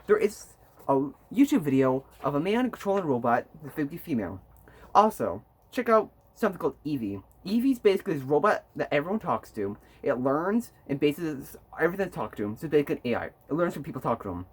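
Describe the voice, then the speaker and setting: Casting: young male student, husky, higher-pitched voice, delivering a halting persuasive class speech. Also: sing-song. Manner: stumbling, often restarts words mid-phrase. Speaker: student speaker.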